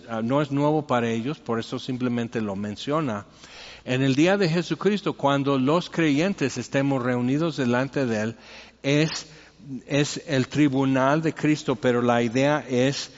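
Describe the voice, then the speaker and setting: A man with a Mexican accent giving an informal, conversational sermon as a pastor, rambling with joking asides. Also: medium pitch. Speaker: pastor preaching. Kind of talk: informal expository sermon with anecdotes. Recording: echoey hall, dull muffled sound.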